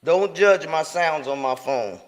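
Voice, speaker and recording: A man's voice: on the high side, lively intonation, unhurried, long reverb tail. A man hosting a live show, talking loosely in slang with lots of fillers and restarts. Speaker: podcast host. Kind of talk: casual live show chat.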